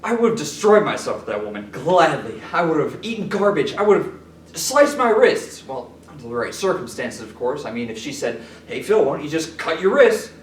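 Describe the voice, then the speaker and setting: A man's voice: a little high, very animated. Anguished lovelorn man, acted out by a man, roomy and distant. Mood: earnest, wistful. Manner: emotional, emphatic, halting delivery. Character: anguished lovelorn neurotic man, self-pitying and darkly wry. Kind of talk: theatrical stage monologue. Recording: roomy, distant mic, background noise.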